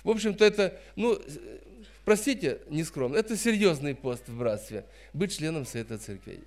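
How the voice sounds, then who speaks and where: A man's voice: on the high side, expressive, normal speed, darkish tone. A man in his fifties preaching a sermon in an emphatic, admonishing way, repeating phrases for stress. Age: 50s. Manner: admonishing, didactic.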